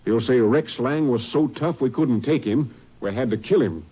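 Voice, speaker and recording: Radio drama role: man as earnest American Rangers captain, stern, husky, mid-pitched, on a muffled old recording. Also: moderate pace, natural voice. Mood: grave, earnest. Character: stern, earnest Texas Rangers captain briefing his men. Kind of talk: 1950s radio drama acting.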